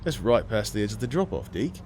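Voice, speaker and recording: A man's voice: lowish voice, very animated, a little fast, a little mellow, slight background noise. A man voicing a wary scrap diver in an audio story, dry and incredulous.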